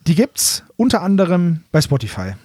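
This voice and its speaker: A man with a fairly high-pitched voice chats as a podcast host, recorded close and dry. He explains things colloquially and with animation, like a fan talking to fellow fans. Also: big pitch swings, normal speed, dark tone, husky, no echo. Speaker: podcast host presenting audio dramas. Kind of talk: casual fan chat.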